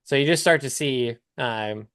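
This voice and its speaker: A man's voice: medium pitch, unhurried, a bit bright, clean tone, no room sound, studio quality. A man talking casually as a coach on a live call, explaining things step by step with examples. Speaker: business coach hosting a client call.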